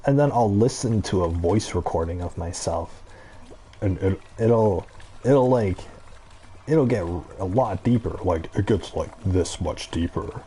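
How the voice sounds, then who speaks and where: Male gaming creator, deep and mellow, halting casual ramble, faint noise behind. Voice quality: low-pitched voice. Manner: halting, rambling, trails off and circles back. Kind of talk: casual gameplay commentary, rambling chat.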